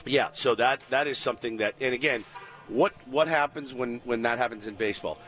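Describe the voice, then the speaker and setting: A man's voice: medium pitch, a little fast, a bit bright. Male co-host, mid-range voice, casual live sports talk. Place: live remote broadcast at a baseball spring training site.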